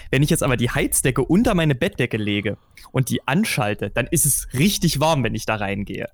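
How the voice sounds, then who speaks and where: A man's voice: mid-range voice, normal speed, no room sound. Man, easygoing podcast co-host, frank slangy talk, dry close sound. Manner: relaxed, colloquial and frank. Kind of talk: casual chat.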